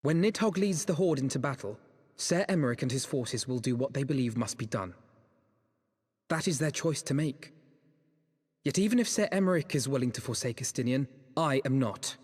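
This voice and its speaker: A male actor playing an earnest, resolute young nobleman in a video game, speaking in formal, solemn, measured phrases. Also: mid-range voice, lively intonation, darkish tone, slightly rough, long reverb tail. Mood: determined. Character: earnest, resolute young nobleman vowing to save his friend. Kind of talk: dramatic video game cutscene acting.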